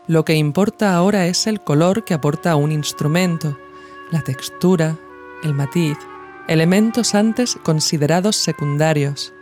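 A narrator reads a scripted audio essay in a measured, reflective way, phrasing each thought deliberately. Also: mid-range voice, darkish tone. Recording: music behind the voice. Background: music.

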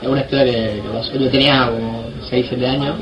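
A man tells a casual anecdote at a rapid pace. The sound is dull, with a clear babble of people behind him. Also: medium pitch, level tone. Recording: muffled, crowd noise behind.

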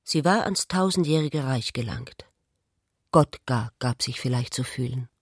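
A woman's voice: extremely low pitch, lively intonation, unhurried, breathy edge, no echo. Contemplative woman narrating, close and dry. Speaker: narrator of a literary radio play. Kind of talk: literary scripted narration.

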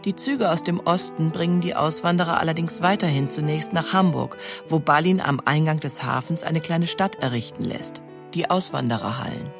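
A woman's voice: sits low, normal speed, music in the background. Woman narrator, scripted documentary read.

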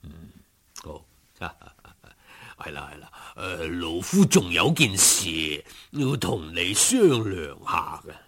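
Deep, husky, genial old gentleman. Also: very animated, heavily acted. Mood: genial, proposing. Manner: unhurried, old-fashioned courteous speech. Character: genial old gentleman, courteous, chuckling, insistent matchmaker. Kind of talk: storybook character acting.

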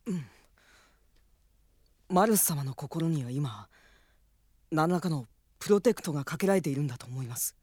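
Studious young mage, dry recording. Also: male voice, fairly high pitch, lively intonation, moderate pace, long pauses, warm tone, dry recording. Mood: grave, explaining. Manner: careful, respectful explaining. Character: polite, studious young male mage, gravely earnest. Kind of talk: radio drama acting.

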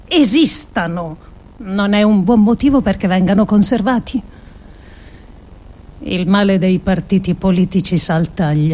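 A woman with a fairly high voice delivers a dramatic literary monologue. She speaks very slowly and solemnly, weighing her phrases and leaving deliberate pauses. The delivery is that of a radio actress reading a scripted text. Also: a little mellow.

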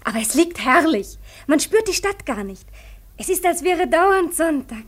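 A woman's voice: very high-pitched, sing-song, moderate pace. A woman playing a proud but fragile young wife in a 1950s German radio play. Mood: gushing, proud.

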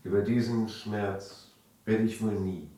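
An actor playing a grieving husband who is a smooth liar, in a German radio drama. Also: male voice, lowish voice, steady tone, measured pace, mellow, very echoey, far from the mic, lightly acted, poor audio. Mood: subdued, grieving.

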